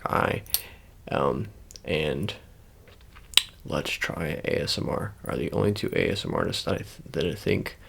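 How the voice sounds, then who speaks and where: A man doing an ASMR roleplay, talking casually to the viewer in a halting, rambling, self-correcting way. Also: low voice, vocal fry, a bit bright, a little hiss or hum. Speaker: ASMR creator.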